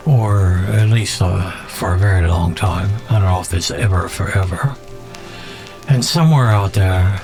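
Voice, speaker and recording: A man talks in a slow, casual monologue with a mid-range voice. He muses whimsically and pauses as he goes, like a host thinking aloud, with soft music behind him. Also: mid-range voice. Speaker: contest organizer and show host.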